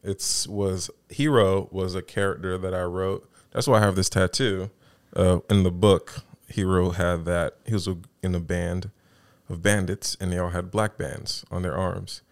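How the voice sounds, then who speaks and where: A man's voice: low voice, fairly even intonation. A man in his thirties from the United States, co-hosting a casual podcast and often searching for his words. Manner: halting, searching for words, easygoing. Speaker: podcast co-host.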